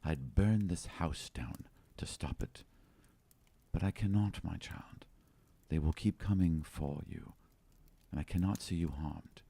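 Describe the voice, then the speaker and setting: A man voicing a tender, sorrowful noble foster mother in a tabletop game, hushed, formal and protective. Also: very deep voice, a little slow, gravelly, slightly rough, full character voice. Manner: hushed, solemn.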